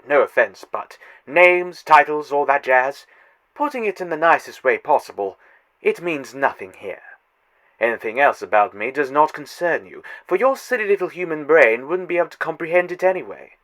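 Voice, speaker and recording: A man's voice: mid-range voice, expressive, moderate pace. A man voicing a taunting, godlike male trickster in a fan audio drama, theatrical and mocking. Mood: teasing. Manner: theatrical, taunting, swinging loud to hushed.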